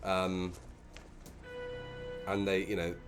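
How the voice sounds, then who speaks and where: A man's voice: low voice, completely flat delivery, drawn-out words, music in the background. A man co-hosting a casual film commentary, with a sardonic, sweary way of talking.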